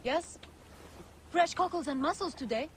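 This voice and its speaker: A woman's voice: high voice, unhurried, slight background noise. A woman playing a shrewd, knowing market seller and secret informant in a video game set in ancient Egypt. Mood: knowing, guarded. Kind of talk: video game cutscene acting. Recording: very loud music behind.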